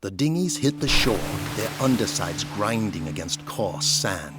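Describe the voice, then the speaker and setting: Low-voiced male narrator over loud music. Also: big pitch swings, a little slow. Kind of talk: scripted dramatic narration.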